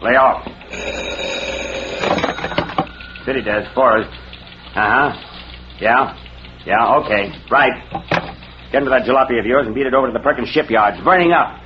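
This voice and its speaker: An actor playing a brusque, evasive small-town newspaper editor in 1940s radio drama style. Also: male voice, mid-range voice, a little fast, long pauses, close to an everyday voice. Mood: curt, irritated. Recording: muffled, hissy old radio recording.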